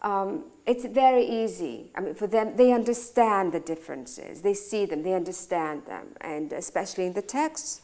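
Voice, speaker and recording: A woman's voice: mid-range voice, moderate pace, few pauses, dark tone. A woman from Greece, speaking English with a Greek accent, who runs a school and answers interview questions in a searching way, restarting her sentences often. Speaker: school director. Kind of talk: conversational talk interview.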